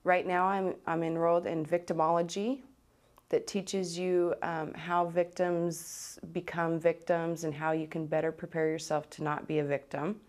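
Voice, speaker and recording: Young woman, lower-pitched voice, college student, informal interview testimonial. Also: little pitch movement, moderate pace, a little mellow.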